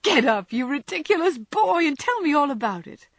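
Woman acting a high, excitable young woman. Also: moderate pace, no room sound, studio quality. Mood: teasing. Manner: exclamatory, mock-scolding. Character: spirited, exclaiming young woman, joyful and teasing.

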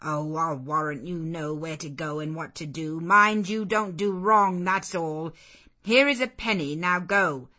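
Woman doing an elderly servant woman, gruff, blunt, suspicious, lower-toned voice, storybook. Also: measured pace, fairly bright tone. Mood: sharp. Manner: gruff and plain-spoken.

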